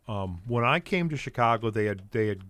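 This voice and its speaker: An older man with a lowish voice tells long, rambling stories in a relaxed podcast chat. He keeps restarting his sentences and padding them with fillers. The room sounds dry and close to the microphone. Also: fairly quick, no echo. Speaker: guest comedian.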